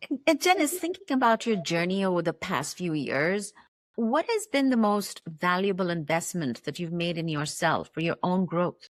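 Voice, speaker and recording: Low-voiced woman host asking calm questions. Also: normal speed, dry recording. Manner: calm, affirming, curious questioning. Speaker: podcast host.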